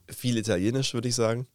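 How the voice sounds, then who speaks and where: A young adult man with a slight cold, co-hosting a podcast in a relaxed, joking way with many fillers. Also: medium pitch, no room sound. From Germany. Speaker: podcast co-host. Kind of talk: casual chat.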